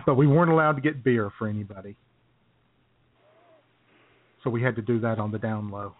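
A man's voice: mid-range voice, steady tone, normal speed, no room sound. A man co-hosting a talk show, telling casual comic anecdotes in easy banter and often chuckling as he talks. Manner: chuckles often while talking. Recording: thin phone-like lo-fi sound.

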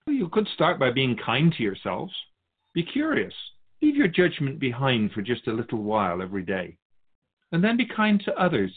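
A man plays a wise spirit Keeper in a spiritual talk show, patient and gently chiding. His mid-pitched voice moves with lively intonation as he teaches in measured, philosophical phrases. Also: narrow, tinny audio. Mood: gentle, encouraging.

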